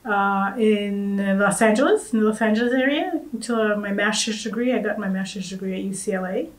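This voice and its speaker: A woman from Uganda speaks as a guest on a conference panel. Her speech is soft and halting, broken by uhs and small restarts as she talks. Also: medium pitch, roomy sound, rough recording. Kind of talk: casual conference panel talk.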